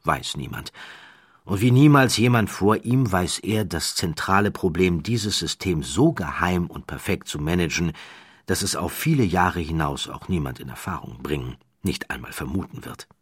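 A man narrates a scripted documentary-style story in measured, deliberate phrases with dramatic emphasis. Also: sits low, a bit bright, slightly rough, no echo.

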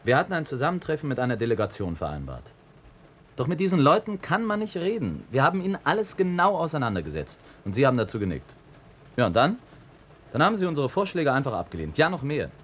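A man's voice: mid-range voice, huge pitch swings, quick pace. An actor playing a stern expedition officer, sure of his superiority, in a German science-fiction radio play, speaking emphatically. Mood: exasperated.

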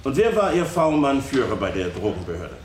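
A man's voice: mid-range voice, very animated, normal speed, natural voice. An actor voicing a male courtroom questioner who asks formal, probing questions in a documentary reenactment. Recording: echoey room with faint noise.

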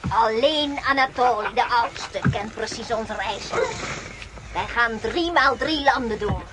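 An actress playing a courteous, secretive talking wolf, a loyal servant, in a radio drama. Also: female voice, on the high side, natural voice. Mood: matter-of-fact. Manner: polite, measured, secretive. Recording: hissy, noisy old radio play.

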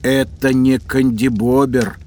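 A male actor plays a Renaissance man of science, proud and absorbed in his work. He speaks very slowly in a mid-pitched voice, with theatrical pauses, as in an educational radio play. A faint ambience sits behind the voice. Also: flat delivery, a little mellow, slight background noise, natural voice. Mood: proud explaining. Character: proud, absorbed Italian scientist and inventor of the 1600s. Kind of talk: acted children's educational audio drama.

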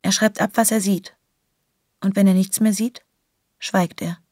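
A woman's voice: medium pitch, steady tone, unhurried, mellow, a little hiss or hum. A woman reading scripted literary narration in a radio play.

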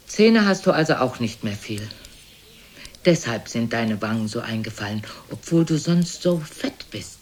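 A woman's voice: a bass voice, animated, a little slow, exaggerated voice. An actress playing an imperious, blunt, aged mother who coolly belittles her son in a theatrical radio play. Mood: haughty, needling. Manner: haughty, probing, theatrical. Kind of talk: German radio play acting.

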